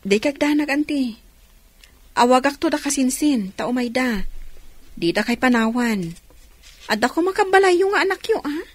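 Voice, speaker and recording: An actress playing a helpful, reassuring younger niece in an Ilocano radio drama. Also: female voice, high voice, expressive, moderate pace, long pauses, no echo.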